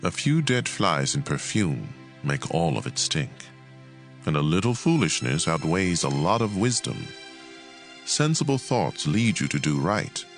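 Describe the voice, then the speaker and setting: A man reading scripture as a dramatized audio Bible narration, in a measured and expressive way. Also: deep voice, faint music behind.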